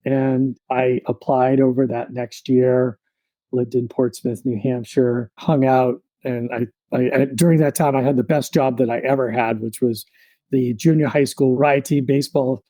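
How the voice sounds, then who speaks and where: A man in his thirties, a podcast guest, telling his story in a relaxed, reflective way with many fillers. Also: mid-range voice, normal speed, dry recording, low-quality recording.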